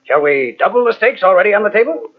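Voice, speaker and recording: An actor playing a suave, self-assured count in an old-time radio drama, theatrical and haughty. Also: male voice, high-pitched, big pitch swings, quick pace. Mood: confident. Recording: tinny, narrow-band old radio recording.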